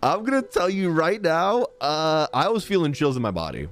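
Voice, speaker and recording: A man in his thirties reacting casually to a game on a live stream, excitable and slangy. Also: on the high side, moderate pace. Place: indoors, small streaming room. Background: game music and sounds.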